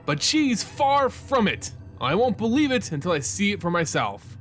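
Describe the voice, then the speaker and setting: A haughty vampire nobleman, acted out by a man for an audio story, gossips with theatrical indignation. The voice is high and swoops dramatically, with faint music underneath. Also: sing-song, moderate pace. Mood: indignant. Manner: theatrical, scandalized complaining.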